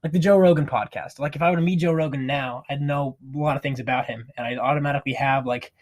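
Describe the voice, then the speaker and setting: Animated teenage boy hosting a podcast. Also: on the high side. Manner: animated, playfully teasing, quick bursts. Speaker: podcast host. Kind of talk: casual chat. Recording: amateur home podcast mic.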